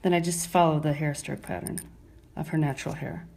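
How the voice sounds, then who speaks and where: A woman with an American accent and a slightly low-pitched voice teaches while she works. Her explanations are casual and conversational, delivered with careful, clear enunciation. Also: fairly even intonation, a little fast, darkish tone. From Brooklyn, New York, United States. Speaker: microblading instructor and artist. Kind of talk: live demonstration with casual explanation.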